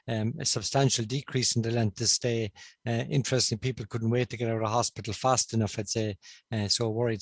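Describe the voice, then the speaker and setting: A middle-aged man with a Cork Irish accent, a stroke consultant giving a remote conference talk over a video-call headset, measured and with frequent fillers. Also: mid-range voice, no echo. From Ireland. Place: indoor room, on a video call.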